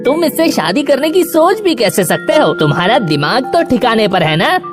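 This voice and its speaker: A woman's voice: on the high side, very animated, normal speed, big-room sound, music in the background. A woman voicing a snobbish, scornful beetle in a children's cartoon fairy tale, sneering and judgmental. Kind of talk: children's animated story dub.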